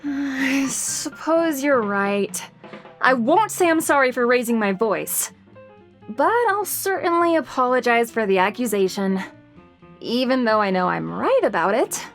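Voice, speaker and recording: An actress playing a high-strung, argumentative perfectionist woman in an audio dramedy, intense and demanding in manner. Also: animated, unhurried, soft background music. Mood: defensive, grudging.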